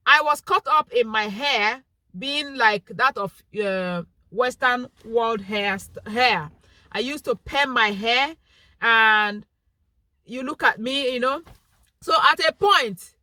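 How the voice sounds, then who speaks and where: Young nigerian igbo woman vlogging, earnest and pleading, high lively voice, takes it slow. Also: no echo. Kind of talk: direct-to-camera vlog monologue.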